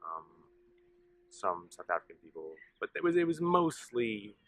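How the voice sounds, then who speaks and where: A young man with a fairly low but very lively voice and a bright, forceful tone. He answers interview questions in a matter-of-fact way, counting off details precisely. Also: normal speed, no echo. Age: young adult. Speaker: interviewee, college senior. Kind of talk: casual documentary interview.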